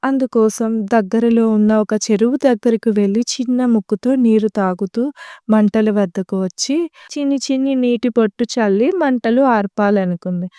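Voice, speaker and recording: A woman narrating a scripted children's story in clear, simple phrasing addressed to young listeners. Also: fairly high pitch, normal speed, warm tone, no room sound, studio quality.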